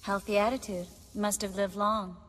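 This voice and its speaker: An actress playing a young sorceress in a video game, wry, guarded and teasing. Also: female voice, mid-range voice, level tone, normal speed, fairly bright tone, long reverb tail, lightly acted.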